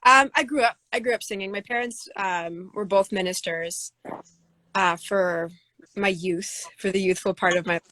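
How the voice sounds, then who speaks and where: Woman w a low voice, talks slow and thoughtful, lots of ums, dry close mic. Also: bright, forceful tone, no room sound, rough recording. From United States. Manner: thoughtful, many fillers and restarts. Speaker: singer-songwriter guest. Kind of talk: casual interview answers.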